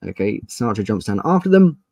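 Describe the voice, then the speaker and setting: Low-voiced man narrating a tabletop game. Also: very animated, normal speed, darkish tone. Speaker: game master.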